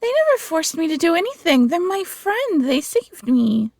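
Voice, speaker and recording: A woman's voice: very high voice, sing-song, normal speed, a little mellow, no echo, clean studio sound, heavily acted. A woman playing a loyal, feisty young fox hybrid, earnest and protective, in a fantasy audio roleplay. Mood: defensive. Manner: earnest, defiant, pleading.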